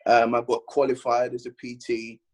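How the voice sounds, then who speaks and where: A man from London with a London accent, a guest bodybuilder chatting on a podcast, rambling and animated as he tells his story. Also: mid-range voice, normal speed. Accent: London English. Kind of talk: casual podcast chat.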